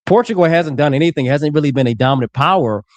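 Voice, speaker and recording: A man's voice: a little high, quick pace, no room sound. An American man arguing in a heated debate, assertive and lecturing, throwing insults at his opponent. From United States.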